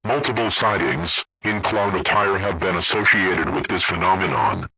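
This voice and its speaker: A man's voice: low-pitched, expressive, moderate pace, bright, forceful tone, slightly rough, low-quality recording. A man reading a scripted emergency broadcast announcement in a formal, stiff manner.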